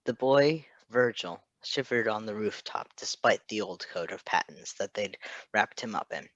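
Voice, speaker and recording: Guy reading a story out loud, lowish voice, no room echo, kinda dull audio. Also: animated, moderate pace. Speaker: fan fiction reader. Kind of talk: narrated story reading with casual asides.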